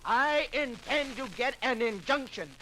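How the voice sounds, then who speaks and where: Fiery male fundamentalist preacher, high and forceful, impassioned oratory for a vintage radio drama. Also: a little slow, full character voice. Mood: grieved, defiant. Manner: declamatory, impassioned, rhetorical. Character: fiery fundamentalist Baptist preacher denouncing evolution to a crowd. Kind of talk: 1950s radio docudrama oratory. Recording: noisy vintage 1950s radio recording.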